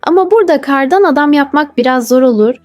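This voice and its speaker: A woman's voice: high-pitched, animated, fast, a little mellow, no echo, faint music behind, pitched above the performer's own voice. A woman voicing a curious, playful young girl on a space trip in a children's story.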